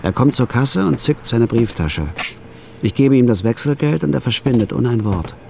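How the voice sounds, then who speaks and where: A man narrating a radio drama in the first person as a store clerk, in a flat, laconic, hard-boiled way. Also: mid-range voice, normal speed, creaky, background noise.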